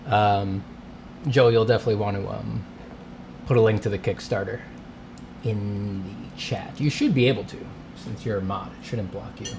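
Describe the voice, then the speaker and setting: A man's voice: lowish voice, fairly even intonation, moderate pace, creaky, background noise. A congested man hosting a casual livestream, rambling and greeting his chat between coughs. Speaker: livestream host, artist. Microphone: streaming setup mic.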